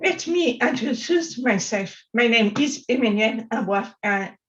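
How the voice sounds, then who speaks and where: A deaf woman from France with a French accent, giving a prepared conference talk slowly and carefully with pauses. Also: fairly high pitch, lively intonation, fairly bright tone, dull audio. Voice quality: deaf speech. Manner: slow, careful speech with pauses. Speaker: conference speaker.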